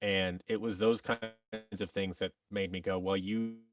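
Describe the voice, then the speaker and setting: A man's voice: deep voice, flat delivery, a little slow, far from the mic. A man telling personal stories as a podcast guest, candid and reflective, often interrupting himself.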